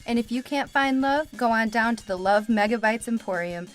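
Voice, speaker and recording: A woman's voice: a little high, moderate pace, soft background music. A woman reading scripted credits and mock sponsor spots for a radio comedy show. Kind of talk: scripted announcer narration.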